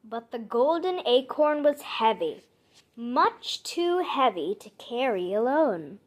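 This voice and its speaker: Animated high-voiced woman reading a storybook. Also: slow pace. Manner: animated, dramatic emphasis for young listeners. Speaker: storybook reader. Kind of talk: expressive children's read-aloud narration. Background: background music.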